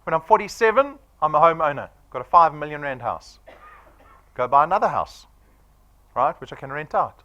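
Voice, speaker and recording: A man's voice: medium pitch, bright, forceful tone, dull audio. A man of forty with a South African accent, giving a punchy sales presentation to an audience and firing off rhetorical questions. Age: 40s.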